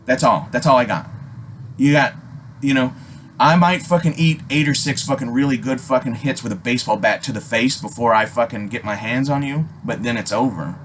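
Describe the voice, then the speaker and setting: A man's voice: medium pitch, brisk, a little hiss or hum. A middle-aged man telling a personal story in a casual, rambling way, swearing often and hammering his points home with emphasis. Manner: profane, emphatic, digressive. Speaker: storyteller and video host.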